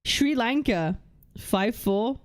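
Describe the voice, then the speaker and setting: A young woman hosting a casual livestream, reading chat and lists aloud, blunt and swearing freely as she thinks out loud. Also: a little high, animated, extremely slow. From United Kingdom. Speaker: livestream host.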